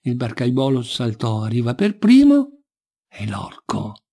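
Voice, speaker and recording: A man's voice: mid-range voice, wildly swooping pitch, takes it slowly, mellow, husky. A man reading a folk tale expressively as a storyteller.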